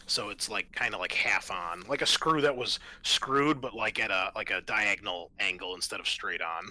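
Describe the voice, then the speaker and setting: A man acting as game master of a tabletop roleplaying game, talking in a halting, conversational and accommodating way. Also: on the low side, sharp tone.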